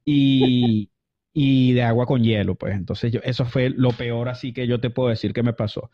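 A man's voice: mid-range voice, fairly even intonation, moderate pace. A man from Venezuela, telling stories as an interview guest in a casual, rambling way full of fillers and restarts. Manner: chatty, many fillers and restarts. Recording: dull lo-fi audio.

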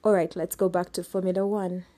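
A woman's voice: on the low side, level tone, fairly quick, over loud music. A Ugandan woman hosting a solo podcast, chatting and explaining things patiently for newcomers, correcting her own slips as she goes. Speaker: podcast host.

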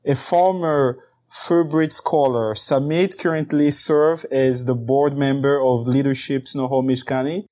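A man's voice: mid-range voice, steady tone, a little slow, few pauses, a little mellow. A man hosting an interview show, upbeat as he reads out a guest's introduction and asks questions. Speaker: host.

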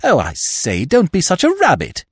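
A man plays a young upper-class British schemer in a comic storybook. His voice sits high and leaps about wildly. He is breezy, eager and given to slangy exclamations. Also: huge pitch swings, moderate pace, no echo, studio quality, full character voice. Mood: breezy urging. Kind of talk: comic audiobook character reading.

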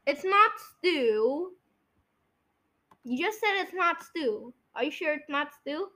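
A young female-sounding podcast host chatting casually in an excitable way, often repeating phrases, during a guessing game. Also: high-pitched, takes it slowly.

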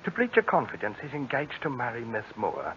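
On a muffled, slightly noisy old radio recording, a prissy butler. The medium-pitched voice moves animatedly up and down. He is droll and gossipy, with mock-grand formality. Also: huge pitch swings, fairly quick, fairly bright tone. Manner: arch, prissy, theatrically formal. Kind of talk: 1940s radio comedy-mystery acting.

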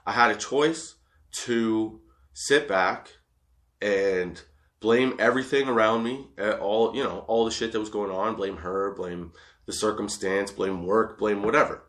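A high man rambling casually and profanely about getting past setbacks, laughing often. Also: measured pace, a bit bright, reverberant. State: high on cannabis.

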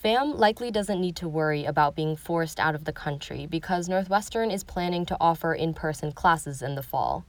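A woman reading a scripted news report as host, in a measured, even delivery. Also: deep voice.

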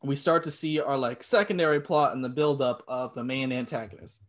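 A man's voice: mid-range voice, brisk. A man co-hosting a casual podcast chat, blunt, sarcastic and often profane. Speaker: podcast co-host. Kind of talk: casual chat reviewing a film.

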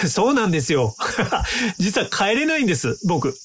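An actor in an audio drama playing an earnest, polite young time-traveling inventor who explains things courteously. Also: male voice, sits high, sing-song, moderate pace, fairly bright tone, a little hiss or hum. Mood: gentle, candid.

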